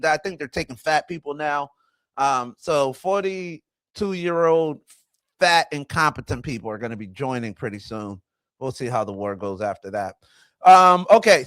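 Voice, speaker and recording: A man's voice: a little high, expressive, a little slow, fairly bright tone, no room sound. A man, hosting a casual livestream, rambling and greeting his chat as he goes. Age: 40s. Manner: rambling, talks to chat, self-correcting. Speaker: livestream host.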